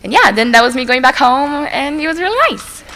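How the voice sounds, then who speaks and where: A young woman from Brazil, a native Portuguese speaker with a Brazilian Portuguese accent, giving a relaxed, chatty school presentation full of "like" fillers. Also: high voice, a little fast. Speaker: student presenter. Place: school assembly hall. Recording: long reverb in a large hall.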